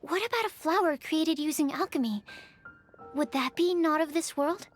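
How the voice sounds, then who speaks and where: A woman's voice: sits high, moderate pace, natural voice. A woman playing a shy, studious young female alchemist in a video game, speaking in a hesitant, careful, analytical way. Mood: earnest, pensive. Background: music.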